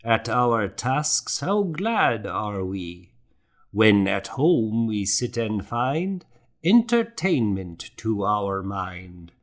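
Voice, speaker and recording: A man playing a gentle old monk and scribe in an audiobook, fond, contemplative and lilting as he savors his words. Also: on the low side, very animated, very slow, exaggerated voice. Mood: merry, reciting. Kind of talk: audiobook character acting.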